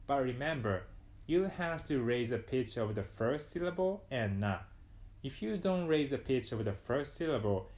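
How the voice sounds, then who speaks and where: A young Japanese man with a Japanese accent explains a lesson in a calm, mid-range voice. He says each Japanese example faster and louder than the rest. He is recorded close on a lapel mic in a small indoor room. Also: mid-range voice. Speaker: language teacher. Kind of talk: scripted lesson to camera.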